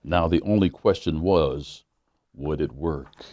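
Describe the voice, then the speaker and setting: A pastor with a very low, mellow male voice reads a children's book aloud. His storytelling is measured, and he slows down to lean on the key lines. The sound is dry and close, with no room around it. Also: dry recording.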